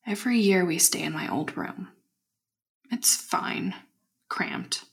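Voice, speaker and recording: A woman playing an unsettled young woman secretly confiding an audio diary in a halting, breathy half-whisper. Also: lowish voice. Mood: uneasy, hushed. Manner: halting, hushed, introspective. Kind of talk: intimate found-footage audio diary acting.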